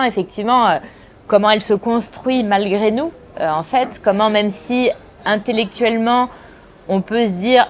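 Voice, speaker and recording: A woman in her forties speaks at a middle pitch, thinking aloud as she answers. She pauses often, restarts her phrases and fills gaps while she searches for words. A faint hum of ambience sits behind her. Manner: thoughtful, hesitant, frequent restarts and fillers. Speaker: film director. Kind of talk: interview answers.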